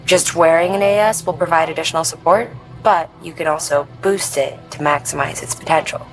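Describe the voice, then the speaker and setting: An actress playing a confident, encouraging technical adviser, explaining equipment in a video game tutorial. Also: female voice, sits low, steady tone, a little fast, faint music behind, poor audio. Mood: encouraging, assured. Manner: explanatory, assured.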